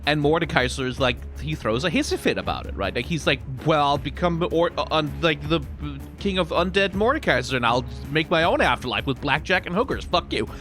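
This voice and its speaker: Animated man giving casual reaction commentary. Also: a little high, brisk, few pauses, faint music behind. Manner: animated, colloquial, sweary, sardonic. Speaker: reaction video host, commentator.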